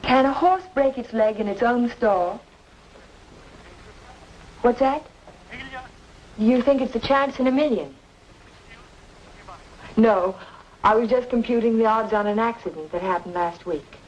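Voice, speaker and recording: An actress playing a polite, inquisitive young heroine, courteous and composed, in an old radio drama. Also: female voice, a little high, unhurried, pauses often, darkish tone, close to an everyday voice. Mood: earnest, polite. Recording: dull old radio recording, faint noise.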